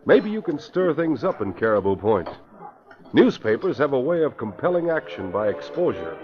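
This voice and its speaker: An actor playing an earnest, indignant police commissioner, in an old radio drama. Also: male voice, medium pitch, sing-song, moderate pace, over loud music, natural voice. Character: middle-aged man, indignant police commissioner. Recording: dull lo-fi old radio recording.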